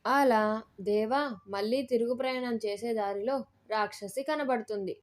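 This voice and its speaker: A woman's voice: a little high, fairly even intonation, moderate pace. A woman narrating a scripted story as its storyteller.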